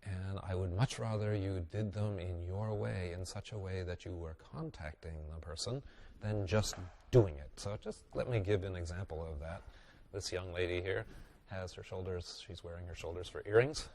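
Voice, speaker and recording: A man with a very low voice and a head cold that makes him sound nasal and congested. He teaches bodywork in an easygoing webinar style, thinking aloud with plenty of ums and dry little jokes. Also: normal speed, a little hiss or hum. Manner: relaxed, musing, with fillers and wry asides. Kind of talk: instructional webinar talk.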